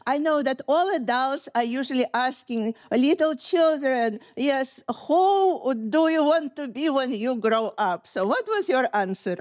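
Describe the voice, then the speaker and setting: A woman hosting a podcast, reading a formal introduction and asking measured, polite questions. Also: high voice, animated, darkish tone, dry recording, highs cut off. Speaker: podcast host. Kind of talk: podcast interview and scripted intro.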